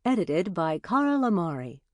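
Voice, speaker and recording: Female audiobook announcer, slightly low pitch, very measured scripted read, dry close sound. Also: big pitch swings, no room sound.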